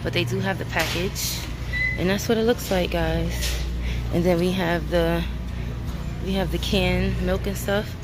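A woman's voice: fairly low pitch, steady tone, unhurried, noisy background. A woman from Brooklyn with a New York accent, chatting to viewers as she films a walk through supermarket aisles. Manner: chatty, repeats herself, addresses viewers as guys. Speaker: vlogger. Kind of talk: casual walkthrough shopping vlog. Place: large supermarket aisles, indoors.

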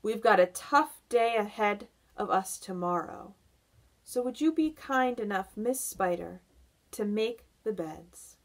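Elderly gentleman grasshopper, acted by a woman: storybook figure, very slow, dignified, withering. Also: medium pitch, animated. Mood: weary, firm. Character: scornful old male grasshopper, dignified musician.

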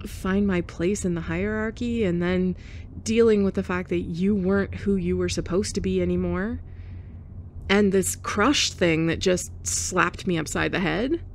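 A woman's voice: fairly low pitch, slight background noise. A young woman playing a blunt, sarcastic former bully in an audio roleplay, sighing and scoffing as she talks. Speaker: ASMR roleplay performer. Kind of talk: F4M ASMR roleplay.

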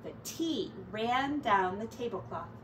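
A middle-aged woman reading a children's storybook aloud like a teacher, in a measured, dramatic way. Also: fairly high pitch, expressive. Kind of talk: expressive children's storybook narration. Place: small attic-style bedroom, indoors. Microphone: distant camera mic. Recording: roomy, distant mic, faint noise.

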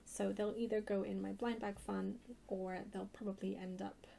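A soft-spoken woman chatting casually in a rambling way for an ASMR haul video. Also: mid-range voice, little pitch movement, normal speed. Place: indoors at a table, hands-only camera. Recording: clear background hiss or noise.

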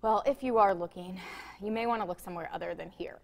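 A woman's voice: fairly low pitch, fast talker, vocal fry. An American woman in her thirties co-hosting a talk show with casual banter, chattily hopping between half-finished thoughts. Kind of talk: casual co-host banter and topic reading. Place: TV studio.

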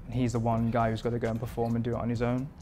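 A relaxed man in his thirties talks through his cooking routine in an even, flat tone, drifting through fillers as he goes. He speaks at a middling pitch in a modern kitchen, with faint music playing underneath. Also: very fast. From England. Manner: relaxed, rambling with many fillers. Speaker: performance chef. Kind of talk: interview answers. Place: modern home kitchen indoors.

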